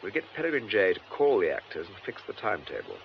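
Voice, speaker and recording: An actor playing a composed, shrewd British gentleman detective in a radio drama. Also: low voice, huge pitch swings, fast, vocal fry, muffled recording, a little hiss or hum, heavily acted. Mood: businesslike.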